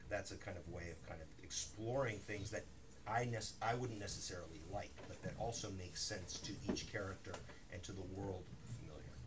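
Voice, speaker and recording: A man, an author at a bookstore event, speaking thoughtfully in a rambling way with many restarts and asides. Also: on the low side. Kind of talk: live talk with audience Q&A. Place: bookstore reading room. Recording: distant mic in a noisy room.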